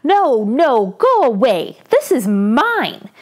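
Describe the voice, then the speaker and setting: A grumpy, selfish fat caterpillar fellow from a children's storybook , acted by a woman. He speaks curtly in a fairly high voice, dragging out his words. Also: sing-song, slow, heavily acted. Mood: grumpy refusal. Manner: curt, refusing.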